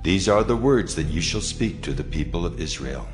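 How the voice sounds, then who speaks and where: A man's voice: sits low, animated, normal speed, soft background music. A man playing God in a dramatized scripture reading, grave, authoritative and proclaiming. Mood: solemn, commanding. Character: God, solemn, commanding, majestic male deity.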